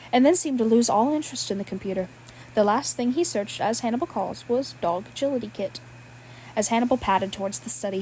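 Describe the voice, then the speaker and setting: A woman's voice: fairly high pitch, darkish tone, slight background noise, rough recording. A woman reading fan fiction aloud, breaking into laughs as she goes. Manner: laughs at the text while reading. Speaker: podfic reader.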